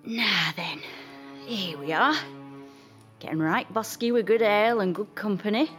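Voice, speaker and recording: An actress playing a cheeky, earthy 1820s coaching guard, blunt and familiar in a period audio drama. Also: female voice, mid-range voice, a little slow, fairly bright tone, soft background music, natural voice. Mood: chummy, teasing.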